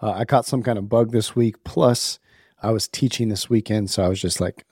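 A man with a hoarse, worn voice from being sick, casually opening a podcast and reading sponsor messages. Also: on the low side, fairly even intonation, moderate pace, a little mellow, no room sound, studio quality. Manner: upbeat, chatty, laughs at himself. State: hoarse, sick, losing his voice. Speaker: podcast host.

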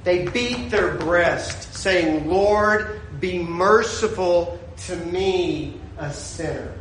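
A man preaching a sermon, his voice swinging from shouted peaks to a hushed storytelling tone. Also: high voice, very slow, echoey room, a little hiss or hum. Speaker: pastor.

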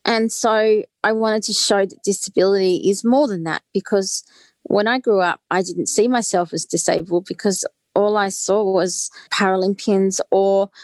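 A woman's voice: mid-range voice, fairly even intonation, normal speed, darkish tone, dry recording. A woman, a guest author on a podcast, answering in an earnest, fluent way with casual fillers. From Australia.

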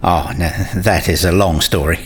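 A man's voice: low-pitched, fairly even intonation, normal speed, husky. An actor playing a curious, wry young male academic with articulate, bantering delivery in a radio play. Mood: friendly, inquisitive. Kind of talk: radio play dialogue and first-person narration.

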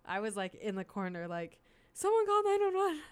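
A woman's voice: medium pitch, sing-song, moderate pace, clear, resonant tone. Giggly young woman co-hosting radio. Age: young adult. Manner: giggly, chatty, full of "like". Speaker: college radio co-host. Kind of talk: casual chat.

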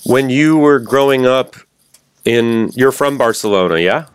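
A man hosting a podcast, reading the intro and a sponsor ad clearly and steadily, then asking his guest questions. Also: mid-range voice, a little slow. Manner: clear, steady read; spells out web addresses. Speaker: podcast host. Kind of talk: podcast intro, ad read and interview.

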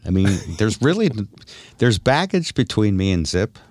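A man with a very low voice talks like a seasoned radio host in loose conversation. His intonation swings freely as he tells stories and prods with teasing questions. The sound is dry and close. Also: very animated, normal speed, a little mellow, dry recording. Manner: teasing, probing. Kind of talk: casual chat and storytelling.

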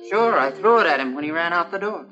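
Feisty bar-owner witness: American, emphatic, bright and tense, 1950s police drama. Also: male voice, on the high side, expressive, fast talker, muffled recording, soft background music. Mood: insistent. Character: feisty bar-owning robbery victim, eager witness. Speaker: robbery victim, bar owner. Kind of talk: 1950s crime drama dialogue.

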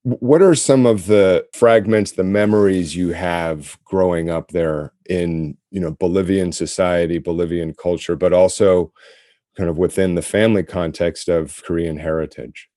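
A man with a low, deep voice hosts a podcast in a measured, formal manner. His tone is mellow and dark, and the sound is close and dry, with no room around it. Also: dry recording. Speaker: podcast co-host. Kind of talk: scripted podcast hosting. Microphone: remote call-in setup.

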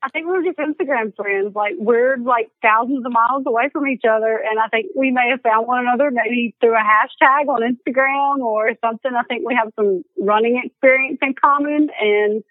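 A woman's voice: on the high side, a little fast, hardly any pauses, no room sound. A young woman with an Alabama accent, a guest chatting casually and laughing often. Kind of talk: casual chat. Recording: tinny phone line, dry.

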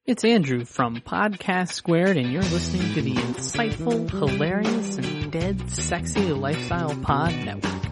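Playful man with very lively intonation. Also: a little high, measured pace, hardly any pauses. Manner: playful, tongue-in-cheek. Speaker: podcaster. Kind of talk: scripted podcast promo. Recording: promo over loud guitar music.

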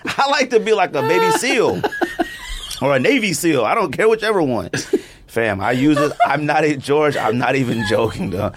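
Animated laughing male podcast host. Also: fairly high pitch, normal speed, noisy background. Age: middle-aged. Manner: animated, laughing storyteller, repeats "I'm not even joking". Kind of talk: casual chat with ad read.

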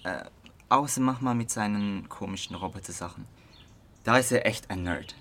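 A man's voice: fairly low pitch, moderate pace, pushed and bright. A young man playing a curious, easygoing teenage boy in an amateur radio drama, talking casually in youth slang with some hesitancy. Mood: chatty, admiring. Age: young adult. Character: curious, easygoing teenage boy trying partying for the first time.

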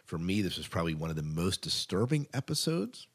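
Man, very deep voice, podcast co-host, casual chat, emphatic with repeated words. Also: sing-song, a little fast, slightly rough. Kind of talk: casual chat about TV show news.

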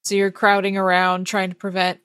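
A woman's voice: medium pitch, monotone, a little fast, fairly bright tone, clean tone. The woman at the head of a gaming table, narrating the scene to her players, heard close with no room echo. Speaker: game master. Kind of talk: narrating a tabletop role-playing game.

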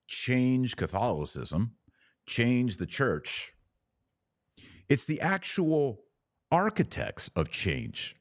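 A man hosting a podcast and giving live opinion commentary in deliberate, emphatic short phrases. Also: fairly low pitch, a little mellow, no room sound, studio quality.

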